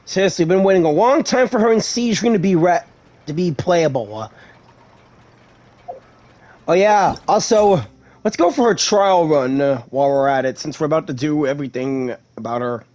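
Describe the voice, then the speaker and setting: High-voiced man doing let's-play commentary. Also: expressive, moderate pace, a little mellow. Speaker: gaming YouTuber.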